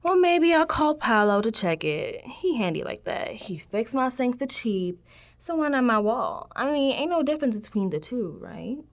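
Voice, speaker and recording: A woman's voice: on the high side, very animated, moderate pace. A woman playing a streetwise young New Yorker, telling her spooked audio diary in a slangy, conversational radio drama monologue. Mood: wry, exasperated. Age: young adult. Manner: slangy, conversational, dropping into hushed asides.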